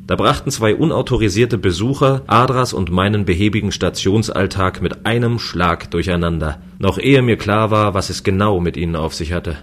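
A man's voice: on the low side, slight background noise, lightly acted. A man playing a lonely, easygoing space station guard, narrating and speaking in a scripted sci-fi radio play. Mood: wry, reflective. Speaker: voice actor and first-person narrator.

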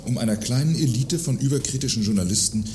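A man's voice: mid-range voice, fast talker, a little mellow, close to an everyday voice. A man acting a self-righteous, pompous arms manufacturer in a satirical radio play, speaking formally and indignantly. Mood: pompous indignation. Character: self-righteous, award-winning male arms manufacturer and husband. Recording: roomy sound with faint noise.

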